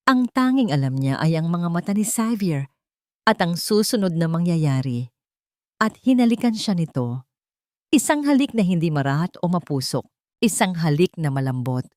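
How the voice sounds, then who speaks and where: Low-voiced Filipino woman narrating romance, dry recording. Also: darkish tone, no room sound. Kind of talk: scripted dramatic audio story narration.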